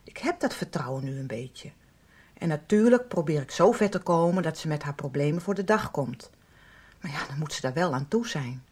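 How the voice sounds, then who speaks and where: A woman playing a calm, discreet professional who counsels a worried parent in a radio soap. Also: deep voice, normal speed, slightly rough. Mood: careful, reassuring.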